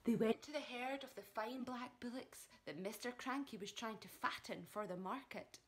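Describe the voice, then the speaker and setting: A woman's voice: a little high, normal speed, a bit bright, slight background noise. A woman reading a children's story aloud like a primary teacher, with dramatic emphasis, speaking directly to young listeners.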